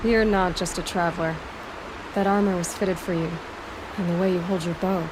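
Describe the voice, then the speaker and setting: An actress playing a young huntress heroine in a video game, speaking in a measured, direct, questioning and matter-of-fact way. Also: female voice, on the low side, steady tone, background noise, natural voice. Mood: wary. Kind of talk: video game character acting.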